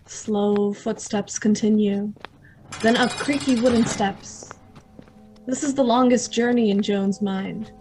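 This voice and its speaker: A woman's voice: medium pitch, fairly even intonation, slow, over music, lightly acted, poor audio. A woman giving scripted narration as the narrator of an old-time radio drama.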